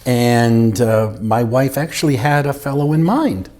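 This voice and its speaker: A man telling a wedding memory in an animated, halting way. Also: mid-range voice. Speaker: interviewee. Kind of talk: casual personal storytelling.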